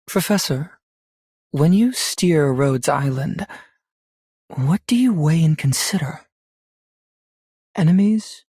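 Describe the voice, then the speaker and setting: A woman voicing a grave, probing operator in a video game, with solemn, measured delivery. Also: low-pitched, animated, mellow, breathy edge, no room sound, studio quality, exaggerated voice. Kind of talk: scripted game character voice lines.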